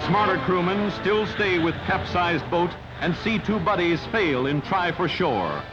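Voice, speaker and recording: High-pitched man narrating a vintage newsreel. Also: lively intonation, a little slow. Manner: clipped telegraphic delivery, dramatic. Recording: dull old newsreel soundtrack with music. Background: music.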